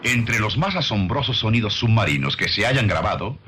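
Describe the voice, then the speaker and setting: Male documentary narrator. Low register, expressive melodic delivery, measured and didactic. Scripted science read with clear background noise.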